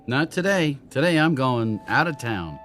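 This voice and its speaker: A man's voice: medium pitch, sing-song, a little slow, fairly bright tone, slight background noise. An actor playing an uneasy but polite young man in a radio drama. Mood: friendly, casual.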